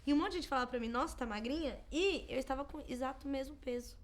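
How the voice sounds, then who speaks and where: A woman in her thirties with a Brazilian Portuguese accent, co-hosting a podcast in casual, animated chat and acting out quotes as she tells stories. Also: sits high, fast. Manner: chatty, animated storytelling with acted-out quotes. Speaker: podcast co-host.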